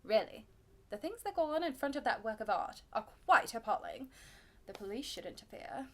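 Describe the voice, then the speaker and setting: A woman's voice: a little high, big pitch swings, normal speed, a bit bright. A woman performing a witty, pampered young society lady in a comic stage monologue, with an arch, mock-indignant manner and formal phrasing. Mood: scandalised, confiding.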